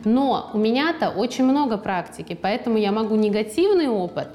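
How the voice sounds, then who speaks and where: A woman's voice: a little high, a little fast. Chatty woman guest on a podcast. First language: Russian. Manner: lively, chatty, acts out quoted speech. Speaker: guest author. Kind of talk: podcast conversation. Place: podcast studio.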